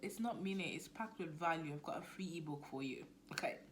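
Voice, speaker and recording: Nigerian woman, chatty low voice. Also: brisk, a little hiss or hum. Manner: chatty, animated, drops to near-whisper asides. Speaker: business coach vlogger. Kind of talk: casual talk to camera.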